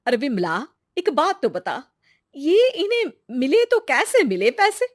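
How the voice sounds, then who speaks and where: A woman's voice: high-pitched, very animated, unhurried. A woman voicing a curious, chatty young village woman in a moral-story cartoon, with colloquial exclamations. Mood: probing, amazed.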